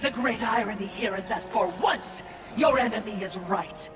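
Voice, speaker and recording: An actor voicing a demon posing as a servant, speaking with a mocking tone and shouted outbursts, recorded echoey and distant with game music. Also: medium pitch, moderate pace, lightly acted.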